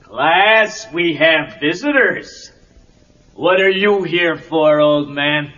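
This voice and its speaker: An actor playing a merciless, contemptuous karate sensei with an American accent, commanding and sneering in film dialogue. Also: male voice, on the high side, expressive, slow, bright, forceful tone, exaggerated voice. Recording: dull lo-fi cassette tape.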